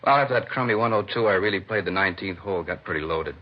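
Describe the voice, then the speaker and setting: A man's voice: deep voice, quick pace. An American man playing a casual, grumbling man-about-town suspect in a 1950s radio drama, talking in breezy, clipped phrases. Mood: griping. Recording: muffled vintage radio recording.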